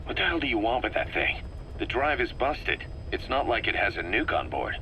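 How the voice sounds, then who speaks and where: Low, forceful military officer. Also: male voice, big pitch swings, normal speed, a little hiss or hum. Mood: blunt, challenging. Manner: expository, matter-of-fact, blunt. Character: gruff male military officer briefing his commander. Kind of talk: scripted briefing dialogue in a video game.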